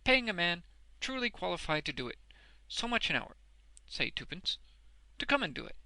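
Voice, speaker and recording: A man's voice: high-pitched, big pitch swings, normal speed, vocal fry, bright, forceful tone, no room sound, pitched above the performer's own voice, full character voice. A man voicing a hearty, uneducated old working man in a storybook, blunt and exuberant, with emphatic, ungrammatical speech. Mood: eager, bluff.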